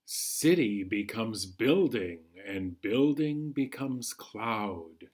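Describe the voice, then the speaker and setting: A man hosting a children's storytime, reading a picture book aloud in a measured, singsong way. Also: on the low side, lively intonation, darkish tone. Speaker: children's storytime host at a library.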